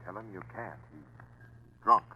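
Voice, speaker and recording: A commanding police captain in an old radio crime drama. His voice is bright and hard, his orders clipped and methodical. The 1940s broadcast recording has noticeable noise. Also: on the low side, moderate pace, poor audio. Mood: urgent.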